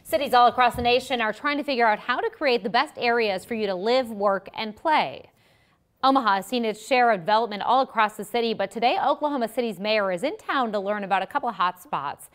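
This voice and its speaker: A woman's voice: on the high side, quick pace. An American woman anchoring a local television newscast. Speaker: TV news anchor. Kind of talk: scripted newscast.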